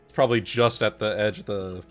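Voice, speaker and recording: A man's voice: lowish voice, steady tone, brisk, a bit bright, faint music behind. A man taking part in a tabletop roleplaying session, chatting casually. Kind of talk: casual game chat.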